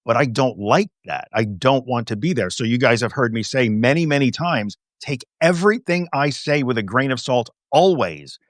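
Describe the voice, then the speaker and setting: A man talks candidly as a therapist guest, his voice sitting at a middle pitch. He speaks with blunt emphasis and steady conviction. The room sound is dry and close. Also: moderate pace, few pauses, no echo. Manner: frank and emphatic. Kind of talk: conversational interview.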